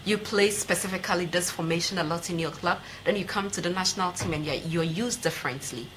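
A woman's voice: fairly low pitch, brisk, a bit bright. Studio interviewer, young woman, Ghanaian accent, direct questioning style, faintly noisy sound. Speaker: TV host, interviewer. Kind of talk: conversational sports interview.

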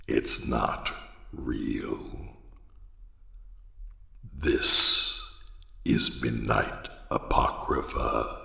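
An actor playing a sinister, teasing horror storyteller who introduces a radio drama in a gravelly, menacing voice. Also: male voice, very deep voice, pushed and bright, heavily acted. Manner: slow, menacing, drawn-out delivery. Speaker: horror podcast host. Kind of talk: theatrical horror anthology introduction. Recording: long cavernous reverb.

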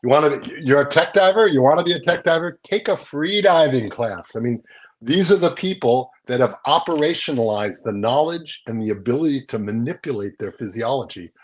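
A man's voice: medium pitch, animated, brisk. An older man talking through news items in a casual, explanatory way on a video call from a room at home, often tagging on little questions. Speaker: magazine editor and guest presenter. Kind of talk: casual news rundown in conversation. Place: small home room with bookshelves, indoors. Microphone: video call, close to the screen.

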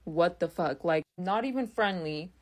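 A woman's voice: lowish voice, animated, normal speed. Young woman ranting bluntly. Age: young adult. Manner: fired-up, blunt, swears. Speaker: customer ranting in a clip. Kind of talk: ranting video.

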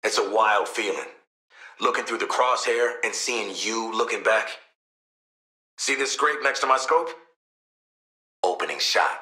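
A man's voice: on the low side, lively intonation, unhurried, long pauses, pushed and bright, echoey room, heavily acted, low-quality recording. An actor playing a tough, wry male assassin and protective father in a video game, with a sardonic, streetwise manner.

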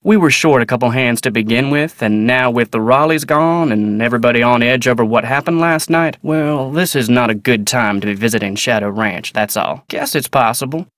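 A man acting as a plain-spoken American cowboy foreman from a video game. He is polite but guarded, talking in folksy, clipped phrases at a middling pitch, recorded very dry. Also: brisk, hardly any pauses, a bit bright, no echo, close to an everyday voice. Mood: guarded, matter-of-fact. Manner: folksy, clipped, matter-of-fact. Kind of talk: naturalistic video game character dialogue.

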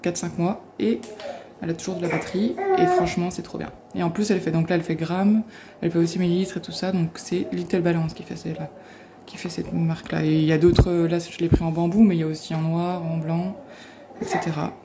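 A woman's voice: on the low side, steady tone, a little fast. A woman food blogger chatting casually while she cooks, peppering her talk with little fillers as she works. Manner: chatty, fillers like hop and voilà. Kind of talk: casual cooking demo. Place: home kitchen. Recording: echoey kitchen, loud background noise.

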